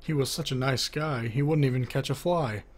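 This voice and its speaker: A man's voice: mid-range voice, normal speed. A man reading a children's joke book aloud, announcing who speaks before each line and lifting his voice for the questions. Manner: lifts his voice for the asking half of knock-knock jokes.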